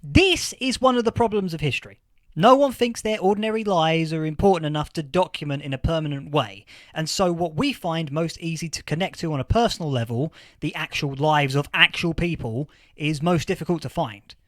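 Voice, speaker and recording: A man playing a thoughtful, earnest history professor in an audio drama interview, explaining things with halting, deliberate emphasis. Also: fairly high pitch, no room sound, natural voice. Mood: earnest, lecturing. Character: male history professor of a post-apocalyptic future, thoughtful, earnest. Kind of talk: acted interview, lecturing explanation.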